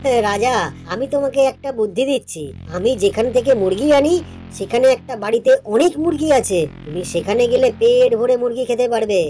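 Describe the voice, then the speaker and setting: Woman as a quick-talking clever cartoon goat. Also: on the high side, animated, dark tone, faint music behind, lightly acted. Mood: coaxing, sly. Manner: coaxing, pleading. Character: clever, quick-witted friendly male goat. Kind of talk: children's animated folk tale acting.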